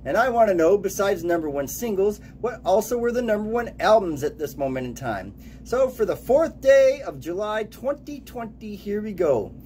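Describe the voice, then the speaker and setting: A man in his late forties giving an upbeat radio DJ countdown, announcing titles and years in a lively way. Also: high voice, normal speed, faint music behind. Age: late 40s.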